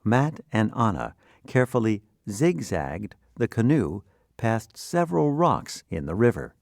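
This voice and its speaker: A man reading scripted word definitions and example sentences for learners in a deliberate, instructional manner. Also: on the low side, big pitch swings, vocal fry, darkish tone, dry recording, studio quality. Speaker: dictionary narrator.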